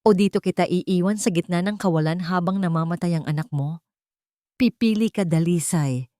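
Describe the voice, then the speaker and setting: A woman's voice: on the low side, normal speed, warm tone, dry recording, clean studio sound, natural voice. A woman with a Filipino accent voicing a cold, threatening husband in a dramatic audio story. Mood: menacing. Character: cold, menacing husband coercing his suffering wife.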